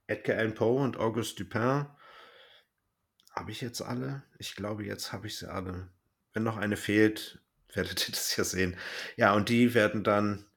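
A man hosting a podcast in casual chat, explaining things and thinking aloud as he goes. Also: on the low side. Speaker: podcast host.

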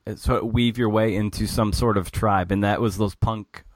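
A man's voice: on the low side, steady tone, no echo, studio quality. A man hosting a podcast interview, asking long questions with many restarts. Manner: halting, many restarts and fillers. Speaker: podcast host.

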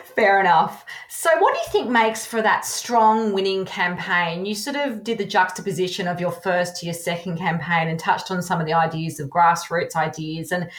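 A woman hosts the conversation, affirming her guest and asking thoughtful questions. Her voice sits a little low, and the room around her adds a noticeable echo. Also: normal speed, few pauses. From Australia. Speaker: podcast host. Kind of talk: conversational interviewing. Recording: roomy echo.

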